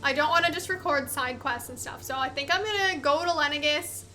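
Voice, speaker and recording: High, bright young woman gushing over gameplay. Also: fairly quick, faint music behind. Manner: gushing, sentimental. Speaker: let's play host. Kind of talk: casual gameplay commentary.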